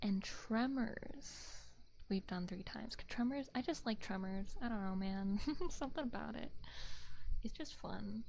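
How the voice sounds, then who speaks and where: A woman livestream host chatting casually in a gentle, soft ASMR voice that often slips toward a whisper, close on a binaural microphone. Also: a little high, creaky, highs cut off, a little hiss or hum. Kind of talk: soft-spoken ASMR chat with viewers.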